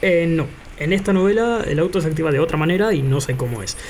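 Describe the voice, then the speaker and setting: A man giving an informal software demonstration, improvising and thinking aloud as he presents. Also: fairly high pitch, animated, fast, slight background noise. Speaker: tutorial presenter.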